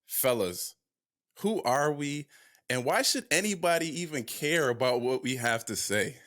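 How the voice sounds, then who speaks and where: A man's voice: medium pitch, animated, measured pace, a bit bright. A young man hosting a casual sports podcast, talking with many fillers and restarts. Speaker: podcast host.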